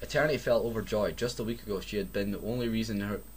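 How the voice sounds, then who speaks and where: Scottish male reader, fairly deep, foul-mouthed and reactive, mocking what he reads, slightly noisy recording. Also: steady tone, racing. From Scotland. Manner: sweary, exclaiming, breaking off to react. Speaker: reader and commentator. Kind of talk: mocking fan fiction read-aloud with casual banter.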